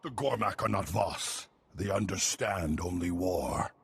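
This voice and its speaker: A man's voice: low-pitched, unhurried, gravelly, a bit bright, slightly rough, full character voice. A man voicing a stern, formal alien commando leader in a video game, speaking in clipped, solemn declarations.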